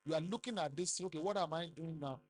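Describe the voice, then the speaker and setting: A middle-aged man with a Nigerian accent giving a business seminar talk, rising loudly for emphasis and quizzing the audience. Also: a little high, quick pace, slight background noise. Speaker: conference speaker.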